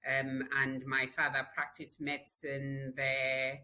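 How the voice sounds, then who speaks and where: A woman in her fifties who grew up in Nigeria, answering interview questions in an unhurried, thoughtful storytelling way with small restarts. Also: rumbling low, monotone, bright, forceful tone, slight background noise. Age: 50s. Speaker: guest, global health leader.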